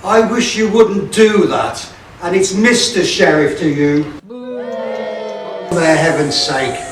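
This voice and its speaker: A man's voice: fairly high pitch, takes it slowly. A man acting a scheming, pompous sheriff villain in a theatrical pantomime style. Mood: peeved. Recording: echoey hall, distant mic, faint noise.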